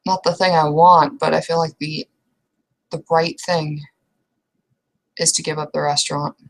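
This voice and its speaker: Lower-pitched woman, halting casual roleplay talk. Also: little pitch movement, low-quality recording. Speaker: tabletop roleplay player.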